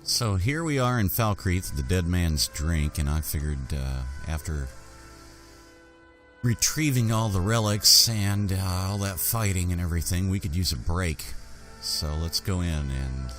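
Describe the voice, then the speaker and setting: A man's voice: low-pitched, expressive, unhurried, a bit bright, faint music behind. A man giving casual, musing in-character commentary while roleplaying through a video game. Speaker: gamer roleplaying his character.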